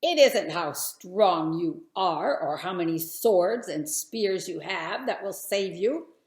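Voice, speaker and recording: A woman's voice: on the low side, lively intonation, slow pace, echoey room. A woman voicing a small, brave shepherd boy who speaks up earnestly and boldly in a children's story. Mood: confident proclaiming. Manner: earnest, bold, calling out.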